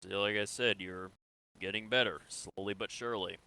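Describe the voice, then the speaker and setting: A man playing a calm, reassuring interrogator in improvised sci-fi game roleplay, casual and coaxing. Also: fairly low pitch, normal speed, vocal fry.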